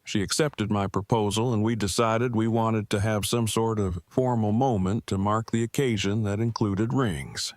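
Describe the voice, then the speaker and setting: A man playing an earnest, bookish, devoted husband in an audio drama, speaking in formal, wordy, measured phrases. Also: lowish voice, fairly even intonation, no room sound, clean studio sound, natural voice. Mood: matter-of-fact. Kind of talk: fan audio drama acting.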